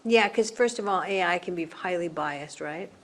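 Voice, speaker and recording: A woman's voice: on the low side, normal speed. A woman moderating a panel casually, with an informal, breezy, slangy and effusive way of talking. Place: live panel event. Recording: faint room noise of live event.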